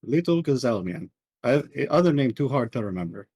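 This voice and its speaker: A man's voice: medium pitch, sing-song, fairly quick, darkish tone. A man voicing a boastful, simple-minded woman in a tabletop game, gloating in blunt, broken phrases. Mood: blunt, teasing. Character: boastful, simple-minded woman who wins at carnival games.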